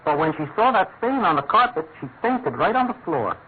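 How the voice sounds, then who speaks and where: An actor playing an earnest, deferential police constable in a classic radio drama, chatty and apologetic. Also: male voice, sits high, very animated, a little fast. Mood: sheepish. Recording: tinny, narrow-band old radio, faint noise.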